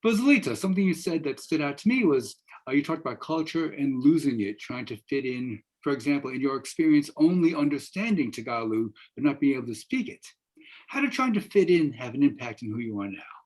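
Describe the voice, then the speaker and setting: Husky older man, halting moderator. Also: mid-range voice, sing-song, darkish tone. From United States. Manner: halting, rambling, frequent repetitions. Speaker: host, professor. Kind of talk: moderating a panel discussion. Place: indoor room at home, video call. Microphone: computer mic on video call.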